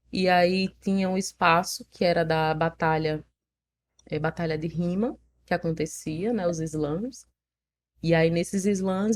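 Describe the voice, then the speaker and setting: A young woman with a Northeastern Brazilian accent, telling her story in a casual interview in an animated, frank way, laughing at herself. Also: on the low side. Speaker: poet, interview guest.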